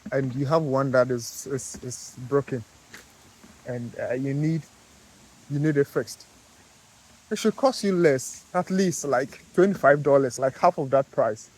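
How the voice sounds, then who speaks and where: A man with a Ghanaian accent talks casually to camera in a vlog, his voice sitting at a middle pitch. He speaks haltingly, filling gaps with fillers and restarting his sentences as he goes. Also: a little mellow, breathy edge, poor audio. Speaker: vlogger, car detailer.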